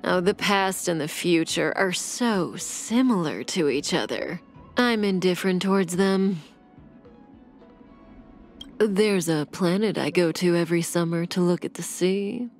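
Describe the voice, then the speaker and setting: An actress playing a calm, seductive female assassin in a video game, with a breathy, velvety voice and a languid, teasing delivery. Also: on the low side, a little slow. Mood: calm, reflective. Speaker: game character voice actor. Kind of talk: scripted video game character voice lines. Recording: clean studio voice over game music.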